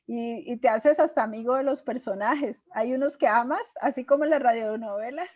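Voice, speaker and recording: A woman hosting a casual conversational interview, speaking in a sentimental, expressive way. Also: fairly high pitch, normal speed, no echo. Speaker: host, interviewer. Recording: dull, dry, lo-fi audio.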